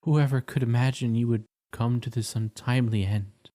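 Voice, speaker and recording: A kind tin woodman , voiced by a male narrator, speaks gently in a plain, even voice. He sounds caring toward his friends, with a touch of pride. This is a storybook reading. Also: mid-range voice, normal speed, pitched below the performer's own voice, natural voice. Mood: tender. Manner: gentle, proud. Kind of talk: audiobook character voice.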